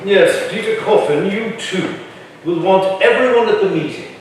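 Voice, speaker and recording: Guy playing blunt colonial townsman in a play, high voice, very echoey, far from mic. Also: normal speed, slight background noise, lightly acted. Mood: official. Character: blunt, loyal colonial townsman defending his brother. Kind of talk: stage drama reading. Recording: very echoey hall, distant mic.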